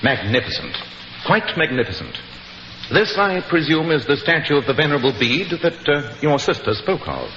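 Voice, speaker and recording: British gentleman detective, sharp tone, lively intonation, formal and analytical, old radio drama. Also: on the high side. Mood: keen. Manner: precise, formal, deliberate. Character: brisk, courteous, analytical English gentleman detective. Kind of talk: 1940s radio acting. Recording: dull, hissy 1940s radio recording.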